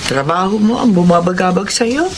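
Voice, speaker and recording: A woman's voice: fairly low pitch, animated, normal speed. An actress playing a worried, devout elderly mother, pleading and moralizing in a Filipino radio drama, with a Filipino accent. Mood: concerned. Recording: noisy radio drama recording.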